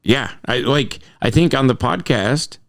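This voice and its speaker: A man's voice: medium pitch, lively intonation, a little slow, slight background noise. A man co-hosting a podcast in casual chat, rambling, joking crudely and laughing a lot. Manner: crude humor and swearing. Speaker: podcast co-host.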